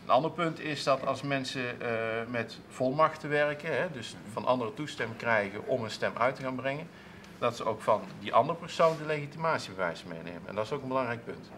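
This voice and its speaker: Guy in his 30s, council clerk, halting answers full of restarts, lapel mic, faint hiss. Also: mid-range voice, a bit bright. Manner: hesitant, many restarts and fillers. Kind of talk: studio interview answers. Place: TV studio with seated audience, at a table.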